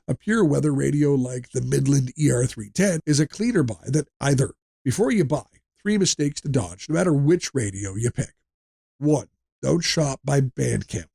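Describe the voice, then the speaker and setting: A man's voice: medium pitch, gravelly, a little mellow, slightly rough, no echo. A man narrating a scripted product buyer's guide in a direct, plain-spoken, instructive way.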